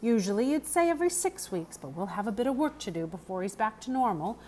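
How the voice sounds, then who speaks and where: A woman voicing a gruff but kindly old English countryman who works as a stableman in a children's storybook. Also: on the high side, big pitch swings, fast talker, a little mellow, slight background noise. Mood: friendly, instructive. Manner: plainspoken, rustic turns of phrase. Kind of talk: children's novel read aloud, character voice.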